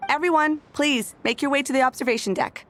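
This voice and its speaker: A woman's voice: high-pitched, brisk, fairly bright tone, a little hiss or hum. A woman voicing a polite, businesslike bar owner in a video game, courteous and composed. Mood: helpful.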